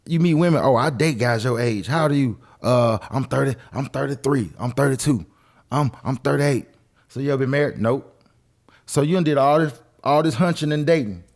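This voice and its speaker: An opinionated man chatting on a podcast in a medium-pitched voice. He speaks casually and drives points home by repeating his phrases with emphasis. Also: brisk, a little mellow. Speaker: podcast co-host.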